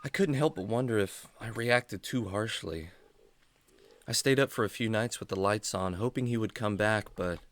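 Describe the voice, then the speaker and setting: A man acting as a lively, kindly adventurer mentor. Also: lowish voice. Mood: wistful. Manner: gentle, earnest, explanatory. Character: kindly adventurer man, patient storytelling mentor to animal buddy. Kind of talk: children's radio drama acting.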